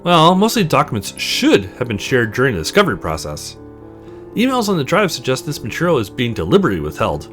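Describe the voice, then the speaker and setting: A man's voice: mid-range voice, huge pitch swings, fast talker, fairly bright tone, soft background music. A man voicing an upbeat male legal advisor in a visual novel, formal and explanatory. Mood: measured.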